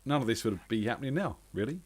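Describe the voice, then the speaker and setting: A man's voice: medium pitch, animated, fast talker, husky. A man hosting a casual chat, prompting his guest with questions and light jokes. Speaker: host.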